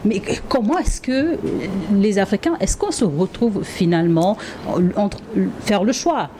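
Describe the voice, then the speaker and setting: A woman interviewer asking measured questions. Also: medium pitch, very animated, mellow, slight background noise.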